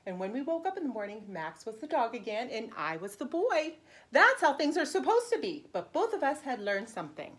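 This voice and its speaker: A woman preschool teacher reading a storybook aloud in an animated, simple, child-directed way with expressive emphasis. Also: fairly high pitch, a little fast.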